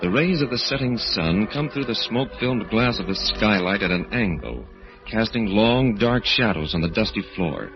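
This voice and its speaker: An actor playing an ominous radio horror announcer and narrator, grave and dramatic, in 1940s style. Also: male voice, fairly low pitch, lively intonation, moderate pace. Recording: muffled old radio recording, music behind.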